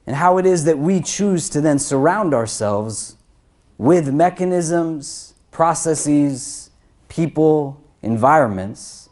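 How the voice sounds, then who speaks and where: A man who is an imam, giving a sermon to a crowded prayer hall. He builds through lists and questions, rising in pitch and loudness, then drops low to land each point. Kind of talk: Friday sermon.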